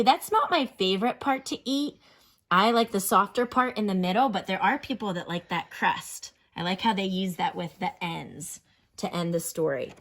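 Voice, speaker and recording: A woman teacher reading aloud to children and explaining as she goes, with expressive, instructional delivery. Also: mid-range voice. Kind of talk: read-aloud lesson with explanations and questions to children.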